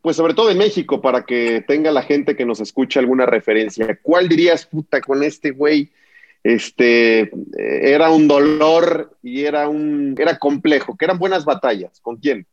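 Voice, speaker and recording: A man's voice: fairly high pitch, moderate pace, no room sound, lo-fi sound. A man hosting a sports podcast, asking questions in a chatty, slangy way. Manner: chatty, uses colloquial slang. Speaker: podcast host. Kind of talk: casual interview hosting.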